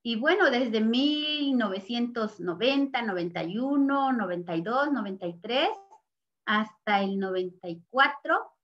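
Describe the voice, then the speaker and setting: An older Mexican woman, a native Nahuatl speaker, speaks with a Mexican Spanish accent in a slightly raised voice. She talks very slowly and earnestly, pausing often as she looks back on her life. The recording sounds muffled and lo-fi. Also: fairly high pitch, lively intonation. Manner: measured and earnest, pausing with soft fillers. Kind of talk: slow, reflective interview testimony.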